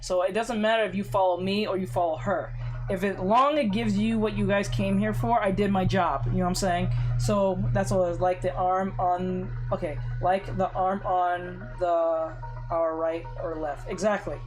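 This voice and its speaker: An art streamer running a live drawing tutorial, voice going hoarse, rambling with many fillers and restarts. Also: mid-range voice, level tone, moderate pace, over music, poor audio. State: losing voice, hoarse.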